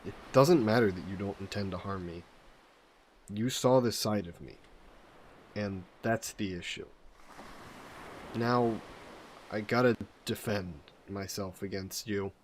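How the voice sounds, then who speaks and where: A man voicing a vain sea monster who poses as a mannered gentleman in a roleplay audio drama, speaking in suave, formal phrases. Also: fairly low pitch, a little slow, pauses often, slight background noise, natural voice. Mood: cold, menacing. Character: flirty, vain sea monster posing as a gentleman traveler, turning menacing.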